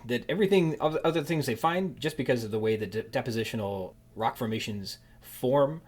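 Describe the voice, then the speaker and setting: Musing, halting male podcast host. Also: mid-range voice, husky. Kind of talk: casual interview chat.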